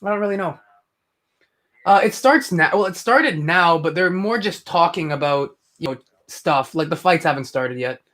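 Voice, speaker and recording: A young man with a fairly high voice chats to viewers on a live stream. He rambles, restarts his sentences and fills the gaps with ums and likes. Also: expressive, moderate pace, a bit bright. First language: English. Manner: rambling, chatty, many fillers and restarts. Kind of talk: casual live commentary chat.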